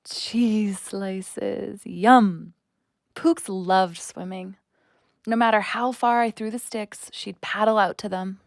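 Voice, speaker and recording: A woman's voice: medium pitch, fairly even intonation, slow pace, gravelly, no room sound, studio quality. A woman playing an earnest, wounded young woman who is friendly and seeks connection, confiding in an audio drama. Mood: friendly, gentle.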